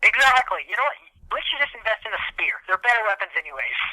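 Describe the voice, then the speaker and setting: A man's voice: high voice, very animated, fast talker, sharp tone, low-quality recording. A young man co-hosting a podcast, ranting casually and comically in an exasperated, hyperbolic, profane way. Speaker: podcast co-host.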